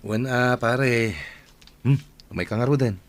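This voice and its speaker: A man's voice: mid-range voice, measured pace, natural voice. A man playing a loyal, concerned family friend, friendly and reassuring, in a radio drama.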